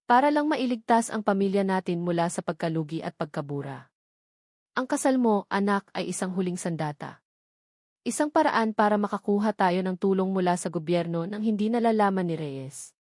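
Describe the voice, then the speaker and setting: A woman's voice: mid-range voice, expressive, normal speed, darkish tone, dry recording, clean studio sound, close to an everyday voice. A Filipino woman narrator voicing an older mother and grandmother, protective, pleading and remorseful, in a dramatic story. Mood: anguished, pleading.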